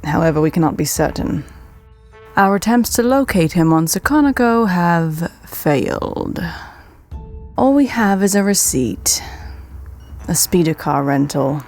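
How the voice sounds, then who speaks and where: A woman playing a composed, deferential servant of a crime lord in an audio drama, soft and even in tone. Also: on the low side, a little slow, faint music behind. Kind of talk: amateur radio drama acting.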